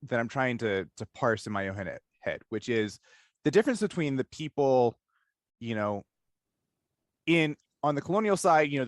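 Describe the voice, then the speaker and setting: A man hosts an informal discussion interview in a mid-pitched voice. He talks conversationally, rambling and halting as he works out his thoughts. The sound is close and dry, with very little room in it. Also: no echo. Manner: halting, thinking aloud, rambling. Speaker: interview host.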